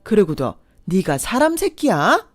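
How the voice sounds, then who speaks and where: A woman's voice: medium pitch, very animated, a little slow, no echo, studio quality, faint music behind. A woman voicing a hot-tempered older mother who fiercely scolds a man to protect her daughter. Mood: furious. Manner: scolding, loud and forceful.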